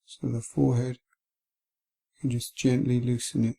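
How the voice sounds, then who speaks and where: A man guiding a meditation in a gentle, calm, unhurried way, leaving long pauses between phrases. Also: medium pitch, gravelly. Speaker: meditation guide. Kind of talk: guided body scan meditation.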